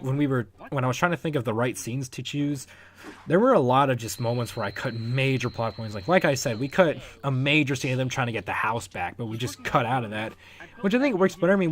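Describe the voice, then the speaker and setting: A man giving casual, unscripted commentary as the creator of a fan project, rambling and halting as he talks. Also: medium pitch. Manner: rambling, halting, chuckling now and then.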